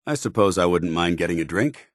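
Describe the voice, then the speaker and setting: An American actor playing a stern, principled military paladin in a video game, with clipped, formal, authoritative diction. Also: male voice, deep voice, expressive, brisk, no echo, studio quality. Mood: calm, curious. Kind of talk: video game companion dialogue.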